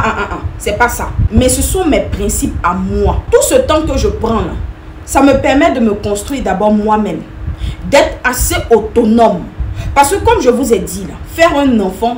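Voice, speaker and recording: A woman, giving direct advice to viewers in an emphatic, repetitive way. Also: fairly high pitch. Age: 30s. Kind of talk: direct-to-camera opinion talk. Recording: echoey room with faint noise.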